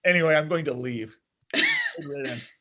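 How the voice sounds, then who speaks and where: A man's voice: on the high side, moderate pace, a bit bright, close to an everyday voice. A man playing a self-absorbed, needy young man in a comedy table read, melodramatic and whiny. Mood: bitter, cocky.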